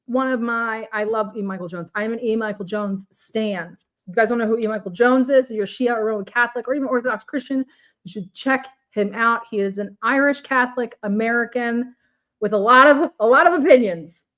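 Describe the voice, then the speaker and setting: A woman's voice: on the high side, brisk, a bit bright. A woman co-hosting a casual political talk show, bubbly and enthusiastic, often laughing. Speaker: co-host.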